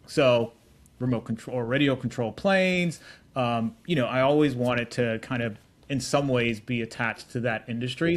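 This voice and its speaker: A middle-aged man answering interview questions as a tech executive, telling his story in an informal, affable way with frequent restarts. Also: medium pitch. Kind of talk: casual conversational storytelling.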